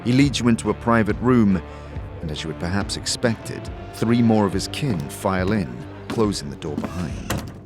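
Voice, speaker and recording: A man narrating a fantasy audio drama in a measured, literary, scripted style, as dramatic storytelling. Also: lowish voice, animated. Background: loud music.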